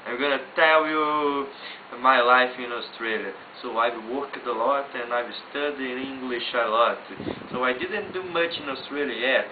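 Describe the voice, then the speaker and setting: A young Brazilian man speaking English with a Portuguese accent in a halting, apologetic way, often laughing, filmed at home by a flatmate. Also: mid-range voice, background noise. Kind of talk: casual video message. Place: house, indoors. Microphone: camera held by flatmate. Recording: tinny, roomy lo-fi home video.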